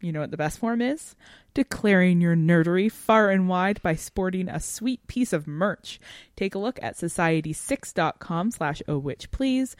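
Low-voiced woman podcaster, dry close sound. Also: animated, moderate pace, no room sound. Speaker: podcast co-host. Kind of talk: podcast discussion and sign-off.

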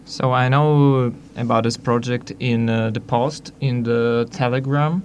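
Soft-spoken, halting guest heard from a distance, mid-pitched. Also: steady tone, slight background noise. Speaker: group leader and guest. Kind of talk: casual interview answers.

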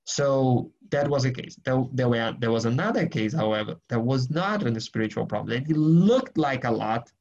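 Man, mid pitch, gravelly, group study leader on a lo-fi video call. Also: animated, moderate pace, hardly any pauses, darkish tone. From Brazil. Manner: earnest, rambling, frequent restarts and fillers. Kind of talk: casual teaching and prayer in an online group session.

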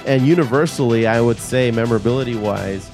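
A man's voice: mid-range voice, brisk, darkish tone. Casual male podcast host over music. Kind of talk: casual banter and chat.

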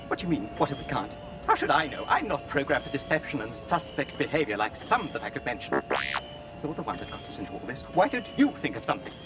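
A man's voice: medium pitch, close to an everyday voice. A man playing a fussy, anxious protocol droid in a radio drama, with a prim, formal manner of speech. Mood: fretful, peevish. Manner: prissy, formal, fretful. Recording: music behind the voice.

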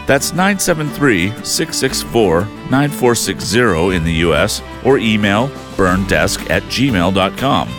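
A man with a very low voice reads a show outro in a lively, animated way. He rises and falls a lot as he announces. Clear guitar music plays behind him. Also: measured pace, few pauses.

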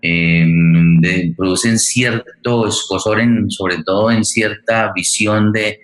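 A man with a very low voice hosts a discussion. He phrases his questions formally and discursively. His delivery is halting and deliberate, with academic wording. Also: steady tone, a bit bright. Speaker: interviewer and forum host.

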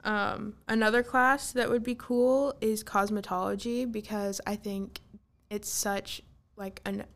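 A woman's voice: medium pitch, fairly even intonation, a little slow. A woman co-hosting a podcast in casual chat, taking questions sent in by listeners. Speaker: podcast co-host.

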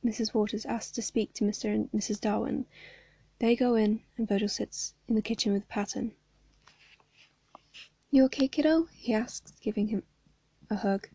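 A young woman, hoarse from hay fever, reading a story aloud and chatting casually between chapters. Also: mid-range voice, flat delivery, moderate pace, warm tone, dry recording. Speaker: fan fiction reader.

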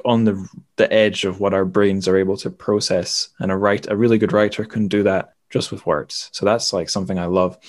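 A man hosting a podcast, discussing books casually in a halting, thinking-aloud way. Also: on the low side, darkish tone. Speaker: podcast host.

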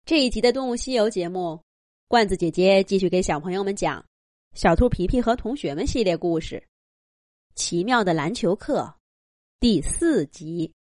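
A woman telling a children's story with gentle, expressive narration. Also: medium pitch, moderate pace, no room sound.

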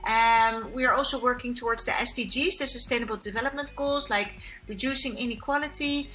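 Kenyan-born woman interview guest, fairly high pitch, halting delivery, faint music bed. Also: fairly even intonation, pushed and bright. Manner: many fillers and restarts, earnest. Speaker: guest, sustainable tourism expert. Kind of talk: conversational interview answers.

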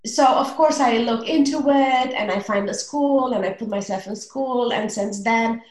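A woman in her forties with a Romanian accent, a relationship coach speaking earnestly in a casual interview, often pausing on fillers. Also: on the high side, darkish tone, echoey room, low-quality recording.

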